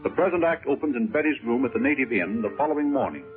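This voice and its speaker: A man's voice: mid-range voice, animated, fast talker, music in the background. A man narrating a 1930s radio serial as its announcer. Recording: muffled, tinny 1930s radio recording.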